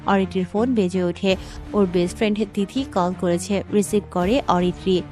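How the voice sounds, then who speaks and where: A woman narrates a scripted audio story in a middle-pitched voice. Music plays softly behind her narration. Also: fairly even intonation, normal speed.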